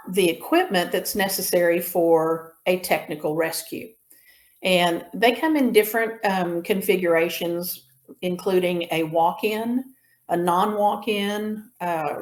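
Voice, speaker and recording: A woman giving an informal slide lecture as an instructor, explaining in a measured way with pauses mid-sentence. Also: medium pitch. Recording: roomy echo on the voice.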